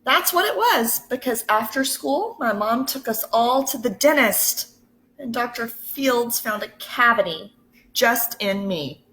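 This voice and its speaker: A woman teacher reading a picture book aloud to children in an expressive, deliberate way, pausing to put questions to her listeners. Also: fairly high pitch, rough recording. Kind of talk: storybook read-aloud.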